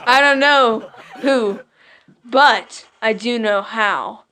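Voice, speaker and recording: A woman's voice: on the high side, extremely slow, background noise. A woman playing an earnest, eager young male detective's sidekick in a comic radio drama, speaking dramatically.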